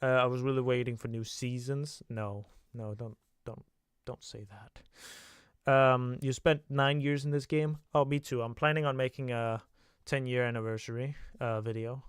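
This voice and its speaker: A young man chats loosely on his livestream, stumbling through thoughts with plenty of filler sounds. His voice is smooth and clean at a middle pitch, recorded dry and close. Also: steady tone, moderate pace, no echo. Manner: rambling, hesitant, many filler sounds. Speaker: livestream host. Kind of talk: casual livestream chat.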